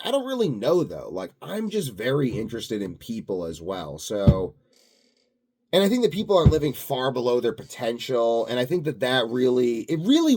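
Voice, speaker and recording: A man's voice: mid-range voice, darkish tone, husky. A man delivering a rambling, unscripted vlog monologue as a self-styled expert, grandiose and self-assured, musing aloud. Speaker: self-styled health and sleep expert vlogger.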